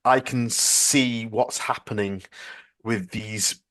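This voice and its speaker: A man's voice: fairly low pitch, fairly even intonation, slow, a bit bright. A man interviewing casually as a podcast host, hesitant and self-deprecating in his delivery.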